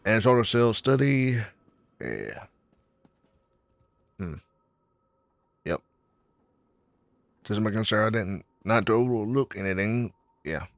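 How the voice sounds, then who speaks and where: Low-voiced man muttering through a game. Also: sing-song, slow pace, vocal fry, long pauses. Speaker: gamer recording a playthrough. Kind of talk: low-key game commentary, reading dialogue options aloud.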